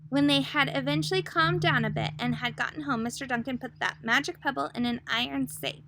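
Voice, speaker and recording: A woman's voice: high voice, slight background noise. A woman reading a children's picture book aloud expressively, in the style of a teacher addressing young listeners. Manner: expressive, pausing to put questions to young listeners. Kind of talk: children's storybook read-aloud.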